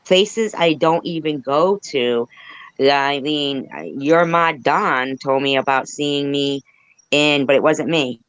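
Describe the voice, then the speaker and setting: A woman chatting casually as a panelist in a halting, rambling way. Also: sits low, clear, resonant tone, no room sound. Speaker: live chat panelist. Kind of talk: casual chat. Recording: dull lo-fi call audio.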